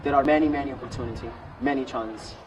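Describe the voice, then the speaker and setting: A man, speaking relaxed with fillers. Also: mid-range voice, fast talker, muffled recording, slight background noise. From Italy. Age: 20s. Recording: distant mic with music behind.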